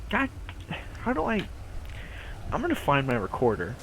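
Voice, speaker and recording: Male streamer, nasal and goofy, lively swings in pitch, casual rambling delivery over faint-to-clear background noise. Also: moderate pace. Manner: playful, goofy, swearing. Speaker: streamer talking to viewers. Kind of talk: casual rambling chat to camera.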